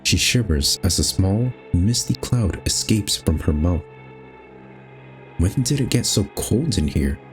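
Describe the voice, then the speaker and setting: A very low-pitched male voice reads a horror game story aloud with measured, dramatic phrasing. He hosts the playthrough as narrator, with soft music lying underneath. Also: lively intonation. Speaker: host reading a visual novel aloud. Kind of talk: expressive scripted story narration.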